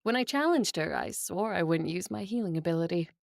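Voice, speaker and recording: A woman acting a composed, tender and teasing vampire in an audio drama, with an intimate delivery and a husky voice. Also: on the low side, lively intonation, brisk, no echo, clean studio sound. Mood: wry, reminiscing. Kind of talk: first-person audio drama acting and narration.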